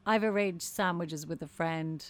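A woman's voice: fairly low pitch, measured pace, no room sound, close to an everyday voice. A woman acting in a darkly comic radio drama as a wry, politely evasive colleague with a blithe, arch manner. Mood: breezy, mock-devoted.

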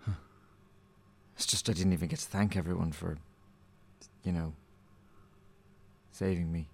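A man's voice: low-pitched, fairly even intonation, unhurried, gravelly, darkish tone, full character voice. A man acting in a darkly comic radio drama as an earnest, hapless show contestant with a hesitant, deferential manner. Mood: meek, uncertain.

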